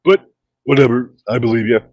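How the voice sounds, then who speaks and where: A man joining a livestream as a guest, explaining things in a hesitant, halting way. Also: medium pitch, a little mellow, no room sound. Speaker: stream guest. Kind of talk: casual livestream chat. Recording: dry, dull lo-fi call audio.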